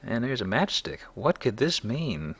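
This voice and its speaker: A man's voice: mid-range voice, big pitch swings, a little slow, background noise. A man hosting a let's play, giving casual, conversational game commentary with wry, jokey asides.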